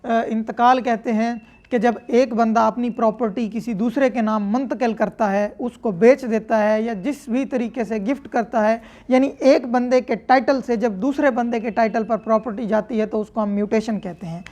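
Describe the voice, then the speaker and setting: A woman's voice: fairly high pitch, fairly even intonation, normal speed, a little mellow. A woman with a Pakistani accent giving a lecture, explaining step by step in a didactic, instructional way. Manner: didactic, step-by-step, checking with tag phrases. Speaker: law lecturer. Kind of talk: explanatory lecture reading statutes in English and explaining them with examples.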